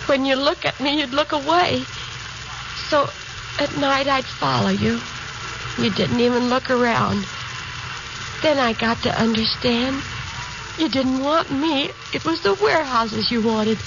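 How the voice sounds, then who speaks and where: A bitter, unhinged older wife in a 1950s radio drama. Her voice is high and gravelly with loneliness, on a muffled, noisy recording.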